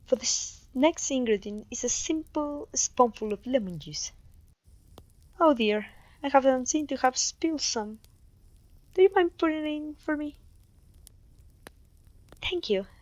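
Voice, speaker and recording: High-voiced, gentle clumsy witch played by a woman. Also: animated, a little slow, pauses often, no echo, lo-fi sound. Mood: gentle, instructive. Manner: gentle, polite, slightly old-fashioned phrasing. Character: clumsy, kindly, apologetic young witch mentoring an apprentice. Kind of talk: ASMR fantasy roleplay voice acting.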